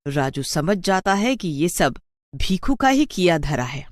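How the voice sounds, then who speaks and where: An expressive narrator tells a scripted cartoon tale. The pitch is moderate but moves around a great deal from phrase to phrase. The recording is dry, with no audible room. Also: a little slow, dry recording. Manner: expressive storytelling.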